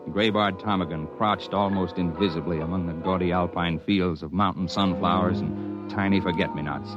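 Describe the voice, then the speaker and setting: A man plays a hearty, steady mountaineer in a 1950s radio drama, both narrating and speaking in scenes. His voice is fairly low, on a dull old broadcast recording with prominent music behind him. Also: normal speed, natural voice. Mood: measured, reflective. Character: steady, hearty male mountain climber telling his tale. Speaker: narrator and character.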